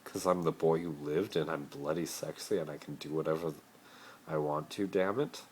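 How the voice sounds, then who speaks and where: A man's voice: a bass voice, fairly even intonation, moderate pace, darkish tone. A man voicing a skeptical, cocky teenage wizard boy hero bluntly in an amateur fan fiction reading. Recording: noisy amateur phone recording.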